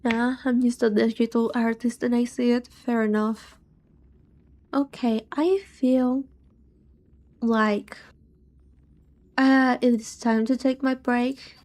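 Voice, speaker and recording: Soft-voiced slow British woman. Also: fairly high pitch, flat delivery. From Britain. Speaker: streamer. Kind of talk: casual livestream chat.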